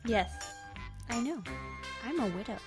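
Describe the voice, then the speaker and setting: A woman's voice: on the high side, animated, a little slow, music in the background. A woman reading the part of a polite widowed mother in an amateur dramatic reading of a novel, with brief, tentative replies. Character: polite widowed mother, shyly receptive to a date.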